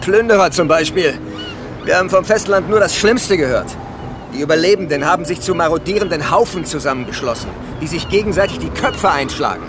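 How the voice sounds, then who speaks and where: An actor playing a calm, controlling leader of island survivors in a radio drama, speaking with measured, patronizing authority. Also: male voice, on the high side, noisy background, lightly acted. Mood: grave, explanatory. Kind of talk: German radio drama acting.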